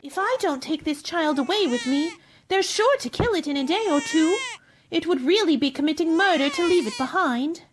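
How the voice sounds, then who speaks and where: A woman's voice: high-pitched, lively intonation, normal speed, background noise. A woman playing a polite, earnest, curious young girl in a radio play. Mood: fretful, scolding.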